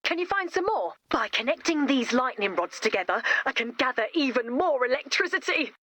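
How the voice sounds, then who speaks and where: A woman voicing an eager young female inventor in an animated video game, enthusiastic and emphatic, cheerfully matter-of-fact about macabre things. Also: high-pitched, fairly quick, dry recording, exaggerated voice. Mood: gleeful, eager.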